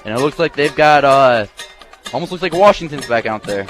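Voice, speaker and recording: A man's voice: medium pitch, animated, fairly quick, over music. An American man giving casual, conversational color commentary and analysis on a live football radio broadcast. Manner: casual, explanatory.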